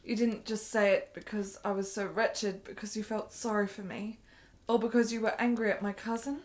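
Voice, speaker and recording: A woman's voice: medium pitch, flat delivery, normal speed, darkish tone, natural voice. An actress playing a frail, insecure, devoted woman in a period radio drama, with a hesitant, emotional delivery. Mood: disbelieving, pleading.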